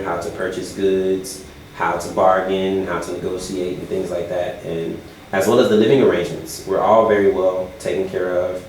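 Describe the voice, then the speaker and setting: A man from the United States, a volunteer teacher, answering interview questions in a measured, deliberate way with many fillers. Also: low-pitched, a little mellow. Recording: very echoey room, faint noise.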